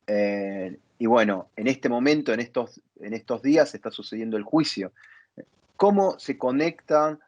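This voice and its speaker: A man's voice: medium pitch, lively intonation, a little slow, no room sound. Spanish-speaking interviewer, a man with dull sound. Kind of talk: panel interview, posing questions.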